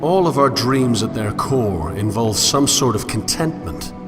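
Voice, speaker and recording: A man's voice: medium pitch, expressive, a little slow, lightly acted. A man playing a solitary, brooding wanderer who muses in formal, eloquent language in a scripted game monologue. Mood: contemplative, somber. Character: solitary, brooding male wanderer musing philosophically on a fallen world. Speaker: narrator. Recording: long hall reverb, music underneath. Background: music.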